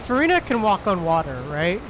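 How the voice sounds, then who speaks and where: A man chatting casually as he livestreams a game, with hesitant, drawn-out phrasing. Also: high-pitched, sing-song, noisy background. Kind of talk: casual livestream chat while playing. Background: game music.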